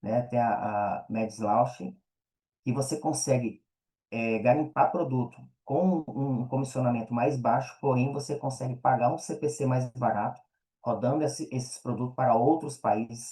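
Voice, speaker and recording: A man's voice: mid-range voice, normal speed, mellow. A Brazilian man chatting casually as an interview guest, explaining things in slangy, informal speech and often checking that he is understood. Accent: Brazilian Portuguese. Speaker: podcast guest.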